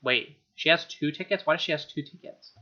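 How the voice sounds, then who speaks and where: A man's voice: fairly high pitch, fairly quick, pushed and bright. A young man reading game text aloud as a let's play host, with irreverent, slangy commentary. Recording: dull lo-fi mic, music behind. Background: game music with guitar.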